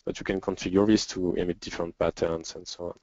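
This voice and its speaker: A low-pitched man with a French accent takes questions in an informal webinar. His delivery is halting, with frequent pauses. The audio is dry and dull, like a lo-fi online session. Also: flat delivery, darkish tone, no echo. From France. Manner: hesitant, halting, with frequent pauses and throat clearing. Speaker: software developer and webinar presenter.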